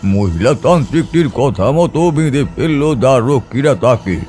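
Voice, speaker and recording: A man's voice: mid-range voice, animated, moderate pace, darkish tone, soft background music. A man giving a dramatic, suspenseful scripted narration of a horror story in a theatrical manner.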